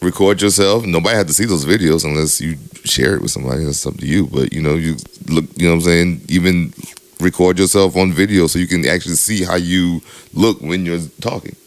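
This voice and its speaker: A young adult man with a very deep voice, co-hosting a podcast in casual chat, rambling with lots of fillers. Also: a little fast, no room sound. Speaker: podcast co-host, DJ.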